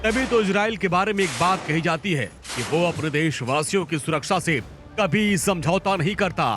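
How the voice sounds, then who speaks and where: A man's voice: on the high side, expressive, normal speed. Dramatic male news voice over music. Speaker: news narrator. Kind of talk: dramatic scripted news narration. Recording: voice-over with loud music bed.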